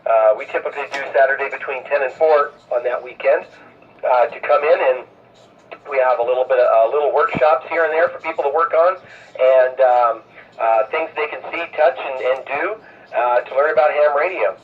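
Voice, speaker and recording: A man hosting an amateur radio net, giving informal announcements in a halting, hesitant way over a radio transmission. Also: mid-range voice, distant mic, a little hiss or hum. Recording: narrow phone-like radio sound, off-mic.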